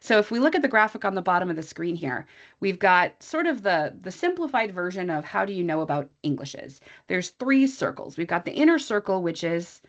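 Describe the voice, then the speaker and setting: A woman's voice: fairly low pitch, sing-song, a little fast. Chatty American woman teacher on a dull call. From USA. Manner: chatty and informal, thinking aloud with asides to the audience. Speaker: English teacher presenting a training webinar. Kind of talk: conversational online presentation.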